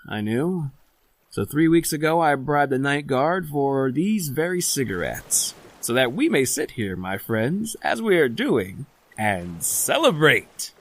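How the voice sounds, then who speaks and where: An actor playing a worldly, self-possessed convict doctor and murderer, narrating a radio horror drama in an eloquent, formal, theatrical manner. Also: male voice, medium pitch, dry recording. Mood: suave, celebratory. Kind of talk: old-time radio horror drama acting, scripted narration.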